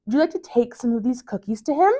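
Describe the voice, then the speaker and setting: A woman voicing an encouraging, gently firm turtle mother in a motherly picture-book read-aloud. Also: a little high, lively intonation, quick pace, pitched above the performer's own voice.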